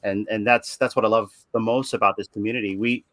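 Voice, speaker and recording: A man talking casually on a video call, halting and repeating words as he tells a story. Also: lowish voice, steady tone, a bit bright, no room sound. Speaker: podcast host guest.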